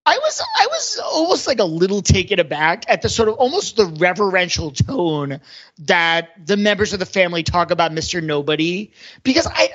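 A man co-hosting a podcast, discussing film casually and slipping into animated retellings. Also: sits high, moderate pace, highs cut off. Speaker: podcast host.

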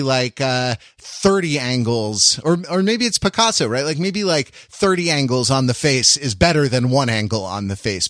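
A man's voice: mid-range voice, a bit bright, dry recording, clean studio sound. A middle-aged man chatting as a podcast host in a casual, intellectual way, wry and digressive, often searching for his words. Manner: erudite, wry.

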